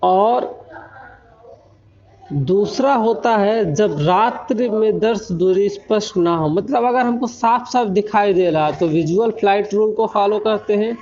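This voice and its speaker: An Indian man giving an explanatory technical lecture, often pausing to check that listeners follow. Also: high voice, a little mellow. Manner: frequent check-in tags while explaining. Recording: dull lo-fi recording.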